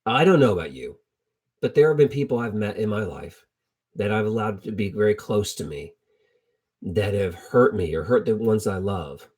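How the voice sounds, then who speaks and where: A man's voice: lowish voice, expressive, normal speed, darkish tone, breathy edge. A middle-aged man teaching from the Bible in an informal, storytelling sermon style, conversational and colloquial, repeating phrases for emphasis. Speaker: preacher teaching a Bible lesson.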